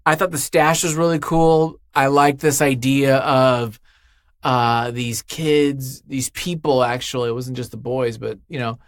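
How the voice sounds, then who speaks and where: Man, interview guest, medium pitch, casual rambling chat, effusive, jokey, dry studio recording. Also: steady tone, unhurried, dry recording. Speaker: interview guest, podcast writer.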